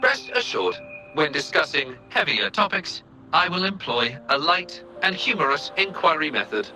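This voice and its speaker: A man's voice: on the high side, very animated, normal speed, sharp tone, soft background music, exaggerated voice. A man voicing a precise, literal robot assistant in a video game, with formal, clipped, report-like delivery. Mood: matter-of-fact. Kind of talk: scripted video game voice acting.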